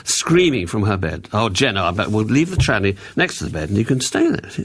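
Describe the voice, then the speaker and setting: A man's voice: lowish voice, huge pitch swings, a little fast, a bit bright, slightly rough. An English man in his sixties hosting a radio request show, chatting and reading out listeners' messages. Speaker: radio host.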